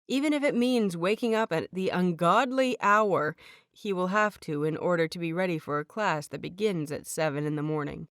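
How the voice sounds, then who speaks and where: A woman reads a fanfiction audiobook with a playful, expressive touch, leaning into the inner asides. Her voice sits at a middle pitch. The recording is dry and close-miked, with no room sound. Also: no echo. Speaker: audiobook reader.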